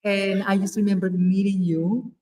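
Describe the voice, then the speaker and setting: A woman's voice: mid-range voice, flat delivery, normal speed, warm tone, roomy sound, far from the mic. A woman from Ecuador hosting a podcast, prompting her guest with encouraging questions and laughing along. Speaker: podcast host. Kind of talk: casual interview chat.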